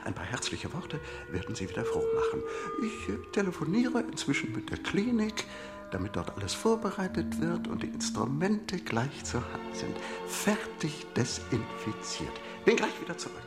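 Glib callous surgeon, radio drama, theatrical, very wide pitch swings, wheedling, music in background. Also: male voice, very animated, normal speed. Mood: brisk eagerness. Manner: theatrical, wheedling, brushing doubts aside. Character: glib, pushy, cheerfully callous male surgeon. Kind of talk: German radio play acting.

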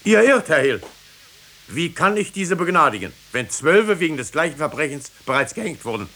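An actor playing a stern, commanding judge who will not bend, in formal 1950s German radio-drama style. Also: male voice, a little high, sing-song, moderate pace, sharp tone, heavily acted. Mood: stern, unyielding. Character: stern, unyielding male judge of authority.

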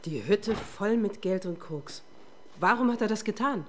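A woman's voice: mid-range voice, expressive, a little slow, a little hiss or hum, close to an everyday voice. An actress playing a curt, exasperated female police detective who bosses her colleague in a German radio crime drama. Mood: businesslike. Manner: curt, bossy.

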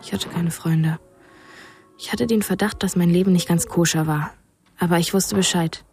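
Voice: medium pitch, fairly even intonation, moderate pace, creaky, a little mellow, faint music behind, close to an everyday voice. A young performer playing a lonely, haunted thirteen-year-old boy, narrating tersely in a radio drama. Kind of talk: scripted first-person radio drama narration.

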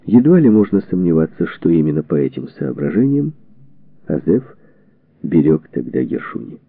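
A man reading a historical book as a scripted narration, in measured, deliberate phrases. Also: sits low, sing-song, dry recording. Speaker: audiobook narrator. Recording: narrow phone-like band, radio-like sound.

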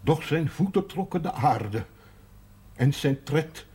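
An actor playing a gruff but caring man in a television drama, with a very husky voice and deliberate delivery. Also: a little high, lively intonation, dark tone, heavily acted. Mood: tender, solemn. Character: gruff, caring man reading aloud to a sick companion.

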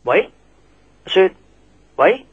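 An actor playing a guarded, work-bound young boyfriend in a Cantonese radio drama. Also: male voice, drawn-out words, no echo, dull audio. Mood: curt, defensive.

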